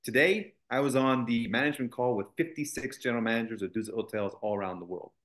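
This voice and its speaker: A man's voice: medium pitch, fast talker, fairly bright tone. A man, a college executive as interview guest, speaking fluently with confident, joking ease. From United States. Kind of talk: interview conversation.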